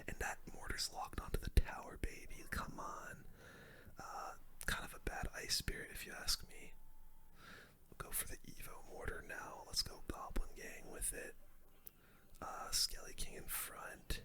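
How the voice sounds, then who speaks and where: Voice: a little slow, pushed and bright. Whispering young ASMR gaming streamer. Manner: casual gamer slang, addresses viewers as dude and guys. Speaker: video game streamer.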